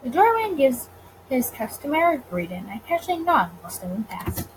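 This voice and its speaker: A woman gamer with a slightly high, very animated voice. She talks casually and reads story narration aloud for a let's play, with faint noise in the recording. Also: brisk. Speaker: gaming video creator.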